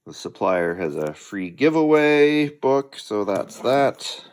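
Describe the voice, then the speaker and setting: A man's voice: mid-range voice, sing-song, slow pace, smooth. A middle-aged man hosting a hobby channel, chatting casually and unhurriedly as he unboxes a delivery at home.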